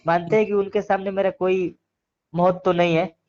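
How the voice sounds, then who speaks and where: A man's voice: sits high, level tone, normal speed, darkish tone. A man with a Bhojpuri accent giving opinions in a casual phone interview, earnest and argumentative, repeating phrases for emphasis. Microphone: phone call. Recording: dull lo-fi phone call recording.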